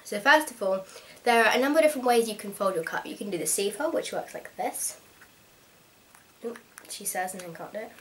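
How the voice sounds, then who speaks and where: Young woman, slightly hoarse from a cold, frank and chatty vlogger, mid-range voice. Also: mid-range voice, a little fast, slight background noise, rough recording. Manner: chatty, frank and practical, with frequent ums. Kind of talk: casual instructional vlog.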